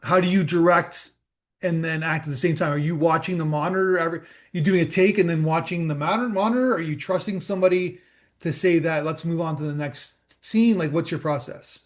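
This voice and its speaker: Relaxed male podcast host. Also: a little high. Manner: relaxed, encouraging, fills pauses with filler words. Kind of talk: interview questions.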